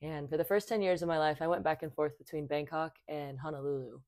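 A woman's voice: low voice, steady tone, fast, darkish tone, dry recording. A woman in her twenties, born in Thailand, chatting as a podcast guest, with many fillers and restarts. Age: 20s. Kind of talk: casual conversation.

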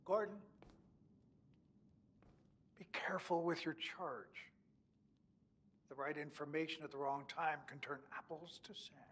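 An actor playing an embittered, commanding old man in a horror game, husky and breathy, with deliberate theatrical delivery. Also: a little high, a bit bright. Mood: bitter, ominous. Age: older. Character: embittered old sanatorium owner, stubborn, drinking, commanding. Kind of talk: dramatic horror game acting.

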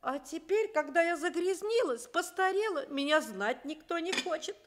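An actress playing a tearful, aggrieved old sooty cooking pot in a Soviet children's radio play, with a plaintive, lamenting delivery. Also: female voice, very high-pitched, animated, normal speed, background noise.

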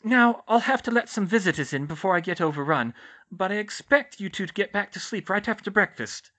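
A woman's voice: medium pitch, expressive, brisk, fairly bright tone, pitched above the performer's own voice. A woman voicing a strict but caring, sardonic female school healer in a storybook. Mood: stern.

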